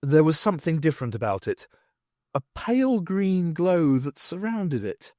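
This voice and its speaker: Male narrator for sci-fi audiobook: lively, expressive, slightly high voice. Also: normal speed, no echo, studio quality. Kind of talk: scripted narration of science fiction.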